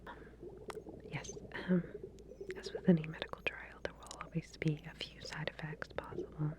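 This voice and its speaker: A woman speaks in a breathy, low-pitched whisper. She plays a medical researcher in an ASMR roleplay, giving procedural instructions gently and with some hesitation. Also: level tone, moderate pace.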